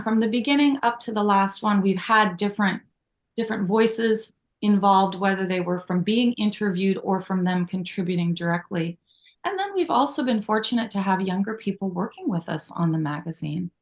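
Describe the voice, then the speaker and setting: A woman's voice: medium pitch, animated, moderate pace. A middle-aged woman, co-founder of a magazine, talking in an interview with a grateful, storytelling manner full of asides. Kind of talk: conversational interview.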